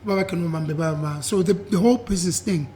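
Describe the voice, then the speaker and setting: A young South African man with a husky voice, chatting easily as an interview guest and mixing in isiZulu. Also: sits high, rapid-fire. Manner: relaxed, switches between English and isiZulu, repeats "yeah". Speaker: guest, singer. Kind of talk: casual interview chat.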